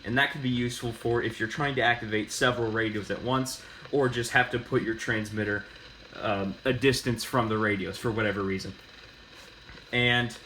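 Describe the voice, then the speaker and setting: A man giving a casual, unscripted how-to demonstration, halting and often correcting himself as he talks. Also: mid-range voice, sharp tone, a little hiss or hum, low-quality recording. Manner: halting, self-correcting delivery. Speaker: host of a hobbyist demonstration video.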